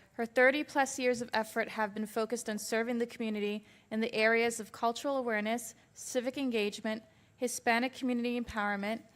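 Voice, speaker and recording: A woman serving as emcee, reading a guest's introduction in a measured, formal way. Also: a little high, fairly bright tone. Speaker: event emcee.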